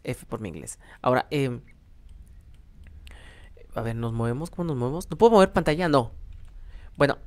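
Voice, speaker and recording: A young adult man with a Mexican accent giving casual, self-deprecating gameplay commentary, rambling and thinking aloud. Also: mid-range voice. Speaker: gaming YouTuber, host. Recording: background music under voice. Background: music.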